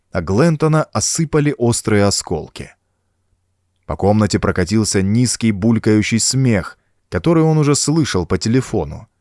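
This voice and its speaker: Guy reading a horror audiobook, lowish voice, really animated suspenseful delivery, dry close sound. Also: dry recording. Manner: suspenseful, expressive storytelling. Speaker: audiobook narrator. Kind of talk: dramatic scripted narration of a horror story.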